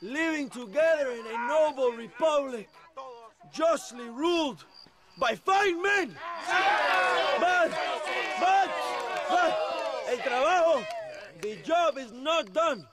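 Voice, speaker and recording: An actor playing a fiery, grandiose Mexican revolutionary leader in a video game, shouting an impassioned rallying speech with a Mexican accent. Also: male voice, very high voice, expressive, slow pace, pauses often, full character voice. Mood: impassioned, defiant. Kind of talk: theatrical political speech. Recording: noisy outdoor speech over loud crowd.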